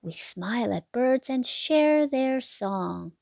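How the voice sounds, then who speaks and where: Slow-paced woman reading a children's storybook. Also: a little high, animated, gravelly, a little mellow, slight background noise. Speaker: read-aloud narrator for children. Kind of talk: children's picture book read-aloud.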